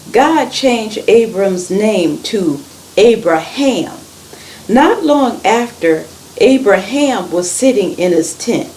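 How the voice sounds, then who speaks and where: A woman reading a children's story aloud in an expressive, deliberate way. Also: mid-range voice, low-quality recording.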